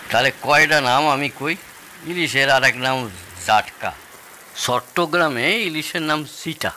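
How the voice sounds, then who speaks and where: A man's voice: fairly high pitch, unhurried, sharp tone, a little hiss or hum. An actor playing a genial, proud older father-in-law, teasing and telling stories in an audio drama. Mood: playful, proud. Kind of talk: Bengali audio drama dialogue.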